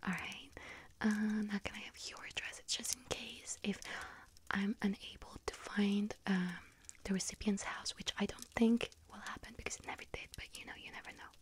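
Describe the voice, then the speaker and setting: A woman doing a whispered ASMR role-play as a friendly young bakery witch, speaking softly and gently with a breathy, hesitant delivery. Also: mid-range voice, little pitch movement, moderate pace. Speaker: ASMR performer role-playing a bakery shop helper.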